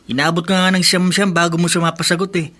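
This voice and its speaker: Sensible, teasing college best friend for radio drama, higher-pitched, conversational. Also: male voice, bright, forceful tone. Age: young adult. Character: sensible, teasing young male student friend. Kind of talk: Tagalog radio drama acting.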